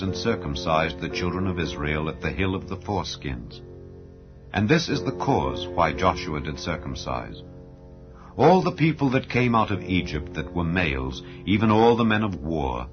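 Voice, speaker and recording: A man narrating scripture as an audiobook in a solemn, measured, formal and declamatory way. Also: low-pitched. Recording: dull lo-fi audio with background music. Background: background music.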